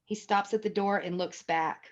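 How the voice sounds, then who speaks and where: A woman's voice: medium pitch, fairly even intonation, normal speed. Woman narrating a script, dull lo-fi sound. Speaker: narrator reading stage directions. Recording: dull, lo-fi audio.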